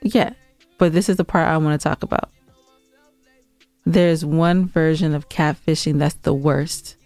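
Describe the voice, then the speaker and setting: Podcast co-host, American female, low resonant voice, emphatic and argumentative banter, dry close sound. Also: smooth, no echo. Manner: emphatic, argumentative, dramatizing complaints. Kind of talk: casual, bantering podcast chat.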